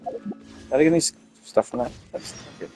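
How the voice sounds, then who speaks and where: A man's voice: on the high side, wildly swooping pitch, a little fast, dark tone. A man giving casual playthrough commentary as a game streamer, muttering offhand asides. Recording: game music behind the voice.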